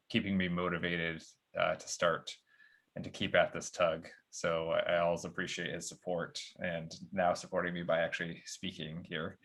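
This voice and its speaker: Deep-voiced man hosting a meeting. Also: fairly bright tone. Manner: halting, filler-heavy. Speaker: user group host. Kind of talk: meeting host chat. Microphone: video call.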